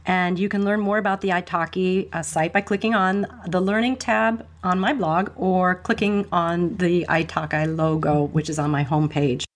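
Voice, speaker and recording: A woman hosting a podcast in a scripted, upbeat way, mixing Italian phrases into her English. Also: fairly low pitch. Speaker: podcast host.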